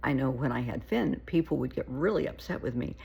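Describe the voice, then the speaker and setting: A woman therapist giving casual, earnest advice to camera, her voice gravelly and shaky from an oncoming cold, often pleading and repeating herself. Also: a bass voice, expressive, fairly quick, dull audio. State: getting a cold.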